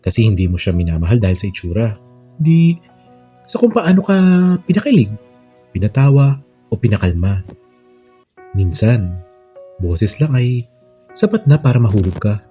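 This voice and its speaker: A Filipino man voicing a smooth, tender young male radio DJ in a storybook romance, soothing and affectionate. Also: lowish voice, very animated, moderate pace, long pauses, warm tone. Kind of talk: late-night radio host patter in storybook narration. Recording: music under the voice.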